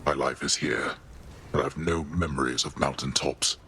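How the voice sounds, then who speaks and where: Brief: a forceful, deep-voiced king of the dead, stern and measured. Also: fairly even intonation, a little hiss or hum, exaggerated voice. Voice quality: deep commanding voice. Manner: grave, formal, measured. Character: stern regal god king father. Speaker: game character. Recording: game audio with faint music.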